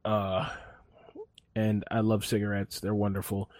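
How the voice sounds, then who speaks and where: A man's voice: fairly low pitch, completely flat delivery, unhurried, a little mellow, no echo. A man chatting casually on a podcast, telling a rambling, laid-back story full of fillers and restarts. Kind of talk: casual chat.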